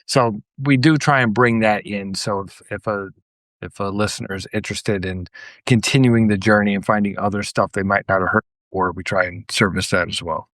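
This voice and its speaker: A man's voice: fairly low pitch, steady tone, brisk, fairly bright tone, dry recording. A man speaking casually as a guest on a music podcast.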